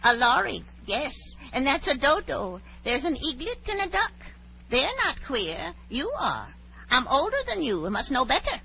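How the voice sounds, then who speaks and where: A woman's voice: high-pitched, sing-song, normal speed, gravelly, pushed and bright, full character voice. A woman voicing a stubborn, argumentative bird with a snappish, contrary manner, in a 1940s radio drama. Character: bossy, stubborn, argumentative parrot-like lory bird. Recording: dull, noisy 1940s radio recording.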